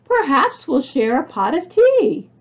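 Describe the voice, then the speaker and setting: A woman voicing a gentle, tired man who is kind to his friends, in a storybook read-aloud. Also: sits high, big pitch swings, unhurried, dull audio, pitched above the performer's own voice, heavily acted. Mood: gently grateful.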